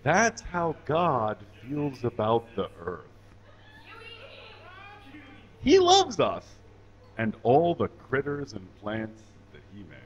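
A man playing a pious, patronising, folksy puppet who lectures deliberately in a mock-sermon at a live comedy show. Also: mid-range voice, huge pitch swings, highs cut off. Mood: patient, instructive. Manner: preachy, deliberate, drawn-out phrasing. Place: small indoor stage. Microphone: handheld microphone.

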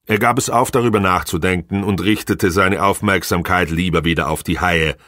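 A man's voice: low-pitched, steady tone, brisk, a bit bright, no room sound, clean studio sound. A man reading a novel aloud as scripted audiobook narration.

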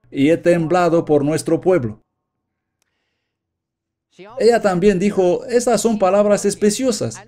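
A man's voice: high voice, warm tone. A man giving an earnest, emphatic sermon, reading quotations aloud from his notes. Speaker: preacher. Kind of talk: sermon read from notes, Spanish voice-over.

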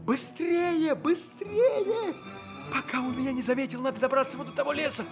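An actor playing a frightened traveller in flight in a radio play, breathless and exclamatory. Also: male voice, very high-pitched, normal speed, over music. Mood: panicked. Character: frightened male traveller fleeing a giant.

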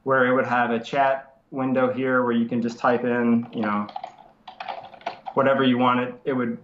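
A man in his thirties with a nasal voice from a cold, explaining software casually over a video call from his home study, with many fillers and restarts. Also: mid-range voice, level tone, moderate pace, a little hiss or hum. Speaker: software developer guest. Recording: roomy lo-fi video call audio.

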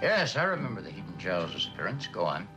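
A man playing a gruff, skeptical police superior in a mid-century TV drama, terse and authoritative. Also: low-pitched, huge pitch swings, quick pace, over loud music. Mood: skeptical, attentive.

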